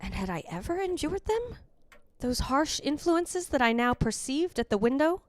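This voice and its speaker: A woman playing the wistful, fastidious ghost of a dead wife in a measured, formal radio drama monologue. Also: sits high, big pitch swings, a little mellow, no room sound. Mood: bewildered.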